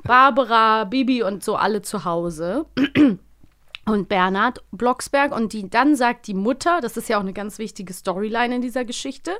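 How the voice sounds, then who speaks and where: A woman co-hosting a podcast in casual conversation, retelling stories in an animated way and acting out quotes. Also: a little high, normal speed. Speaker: podcast co-host. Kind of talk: casual chat about children's audio plays.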